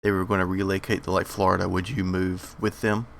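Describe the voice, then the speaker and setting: A man co-hosting a podcast in casual chat, speaking haltingly with stammers, restarts and casual swearing. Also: sits low, flat delivery, vocal fry, a bit bright, slight background noise. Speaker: podcast co-host.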